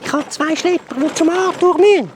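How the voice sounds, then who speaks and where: A woman's voice: very high-pitched, brisk, background noise. A woman with a Swiss German accent voicing a blunt male cart-puller creature in a radio play. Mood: matter-of-fact.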